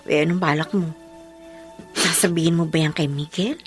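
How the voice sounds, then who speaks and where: An actress playing an indignant, loyal young friend in a Philippine radio drama, emotional and sympathetic, with a Filipino accent. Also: female voice, low voice, fairly even intonation, normal speed, lightly acted. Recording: dry studio with music underneath.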